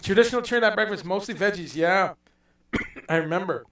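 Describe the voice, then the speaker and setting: A man's voice: high-pitched, brisk, fairly bright tone. A man hosting a casual livestream, rambling, reading out viewer comments and swearing now and then. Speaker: livestream host. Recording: echoey room, voice a bit far from mic.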